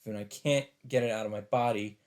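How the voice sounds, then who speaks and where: A man's voice: fairly low pitch, steady tone, brisk, a little mellow. A man reading a visual novel aloud with dramatic delivery as a let's player, with faint game ambience behind him.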